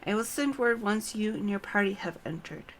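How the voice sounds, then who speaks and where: A woman's voice: medium pitch, expressive, moderate pace, fairly bright tone, slight background noise. A woman voicing a deferential male temple knight squire in a fantasy game, polite and formal. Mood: dutiful. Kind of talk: read-aloud fantasy game dialogue.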